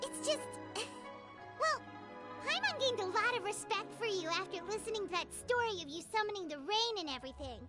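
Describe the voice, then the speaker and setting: Paimon, a squeaky fairy sidekick. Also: female voice, lively intonation, normal speed, over loud music. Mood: curious. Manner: bubbly, teasing, refers to herself by name. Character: small floating female fairy companion, chatty and cheeky. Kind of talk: game cutscene dialogue.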